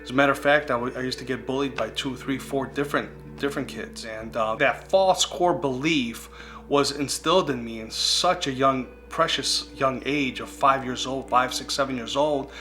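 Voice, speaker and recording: Man, 40s, Greek as first language, mid-pitched, sincere testimony over soft guitar bed. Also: moderate pace. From United States. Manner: earnest, reflective storytelling. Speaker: speaker sharing his recovery testimony. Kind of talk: personal testimony. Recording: guitar music playing underneath.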